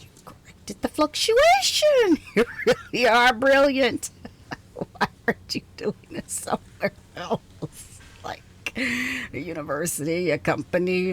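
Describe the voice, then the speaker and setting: A woman voices an exhausted female scientist in an ASMR roleplay, prickly on the surface and softening underneath. Her voice sits fairly high and swings widely in pitch. She takes her time, halting and teasing, and often drops into a whisper. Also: very animated, a little hiss or hum. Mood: impressed, probing. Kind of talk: ASMR roleplay, intimate one-sided dialogue.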